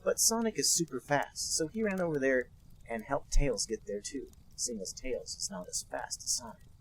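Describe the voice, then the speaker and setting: Husky young man doing a mock-serious reading. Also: mid-range voice, sing-song, normal speed, rough recording. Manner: mock-serious with dry asides. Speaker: podcast co-host, narrator. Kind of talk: comedic dramatic reading.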